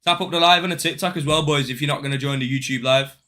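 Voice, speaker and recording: A man's voice: medium pitch, fast, bright, forceful tone. A young man chatting casually on a live trading stream, slangy and swearing as he banters with viewers. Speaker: day trading livestreamer.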